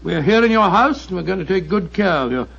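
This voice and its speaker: An actor playing a hearty, loyal doctor companion in an old radio drama, on a muffled old recording. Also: male voice, sits high, animated, fairly quick, vocal fry, a bit bright, slightly rough, full character voice. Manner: bluff, hearty. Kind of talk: 1940s radio acting.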